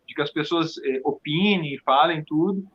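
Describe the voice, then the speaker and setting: High-voiced Brazilian man, halting interview guest. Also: a bit bright, highs cut off. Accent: Brazilian, Rio Grande do Sul. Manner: halting, repeats words as thoughts form. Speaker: theatre company founder, interview guest. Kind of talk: casual interview talk.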